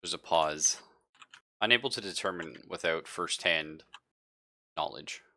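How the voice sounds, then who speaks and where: A man's voice: low-pitched, measured pace, sharp tone. A man improvising as game master of a tabletop roleplaying game, thinking aloud with some hesitation.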